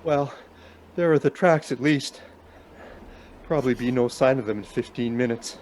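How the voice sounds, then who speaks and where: A man playing a stubborn, guilt-ridden husband in a radio play, halting as he talks his way through a snowstorm. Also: mid-range voice, darkish tone, slight background noise, close to an everyday voice. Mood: uneasy, pleading. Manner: halting, muttering to himself.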